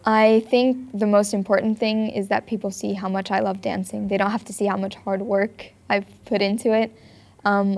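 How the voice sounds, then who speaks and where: A teenage girl from Switzerland, a ballet student, answering interview questions in a thoughtful, earnest way with frequent pauses. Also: mid-range voice, a little mellow. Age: teens. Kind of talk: documentary interview. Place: bright ballet studio.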